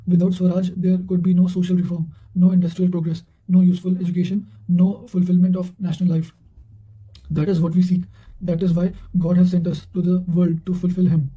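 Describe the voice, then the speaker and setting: A man's voice: sits high, mellow, low-quality recording. A man reading quotations aloud for study revision, now and then stumbling and correcting himself. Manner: reads with stumbles and self-corrections.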